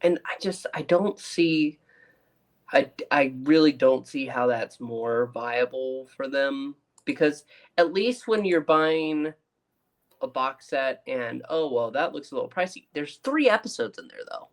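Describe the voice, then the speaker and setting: A man's voice: mid-range voice, animated, measured pace. A man co-hosting a casual review chat, giving his opinions with emphasis. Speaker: podcast co-host reviewing audio dramas.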